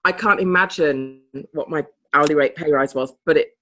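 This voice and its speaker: A woman's voice: deep voice, moderate pace, a bit bright. Woman, chatty, laughing often, video call sound. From Britain. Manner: chatty, laughs often, loses her thread. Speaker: participant in a coaching group call. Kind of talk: casual group video call.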